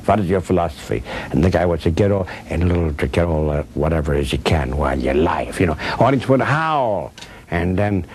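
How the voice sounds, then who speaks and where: A man's voice: sits low, a little mellow. An older man reflecting conversationally in an interview, emphatically repeating key phrases as he tells stories. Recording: clear background noise and ambience.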